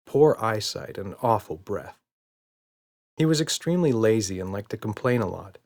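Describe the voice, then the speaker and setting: A man narrates a scripted children's audiobook. His voice is fairly low in pitch. The reading is expressive, with wide rises and falls as he tells the tale.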